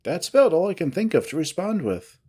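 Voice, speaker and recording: A man's voice: on the high side, sing-song, mellow, slightly rough, pitched above the performer's own voice. Man putting on a sheepish, babyish young cat woman. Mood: vague, defensive. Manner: halting, filler-heavy, babyish. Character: sheepish, forgetful young female cat, evasive and catty.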